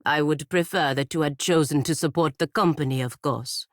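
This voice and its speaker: A woman's voice: low-pitched, moderate pace, no echo, clean studio sound, close to an everyday voice. An actress playing a proud, stern patriot warrior woman in a fantasy video game, speaking formally and earnestly. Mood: formal, reserved. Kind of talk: scripted video game character dialogue.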